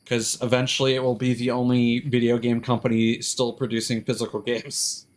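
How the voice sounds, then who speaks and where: A man's voice: mid-range voice, completely flat delivery, normal speed. A man live streaming a game, chatting casually with rambling, profane, opinionated commentary. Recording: music behind the voice.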